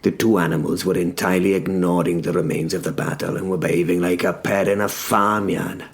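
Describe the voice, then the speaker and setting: A man giving measured, dramatic first-person narration of a story in short phrases. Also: low voice, level tone, creaky.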